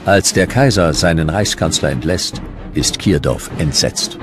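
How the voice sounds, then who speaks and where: A man's voice: sits low, animated, unhurried. A man narrating a historical documentary from a script. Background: music.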